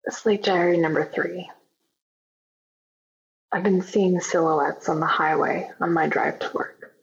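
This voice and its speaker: A woman's voice: lowish voice, steady tone, slow, gravelly, a little hiss or hum. An actress playing a haunted, sleepless young woman making an uneasy, confessional diary recording in an audio drama. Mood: haunted, weary. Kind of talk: found-footage audio drama diary monologue. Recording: dull lo-fi found-footage diary recording.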